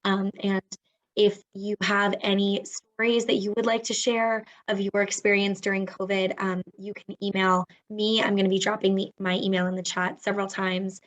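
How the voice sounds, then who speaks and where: A hoarse woman with laryngitis, a program volunteer, introducing herself casually over a video call. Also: mid-range voice, little pitch movement, normal speed, rough recording. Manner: apologetic, casual. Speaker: AmeriCorps volunteer helping the webinar.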